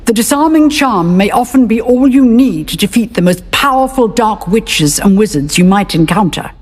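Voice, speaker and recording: A woman's voice: on the high side, animated, moderate pace, a little mellow, soft background music. A woman playing an assured professor of defensive magic in a video game, instructive and authoritative. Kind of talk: video game character acting. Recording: long hall reverb.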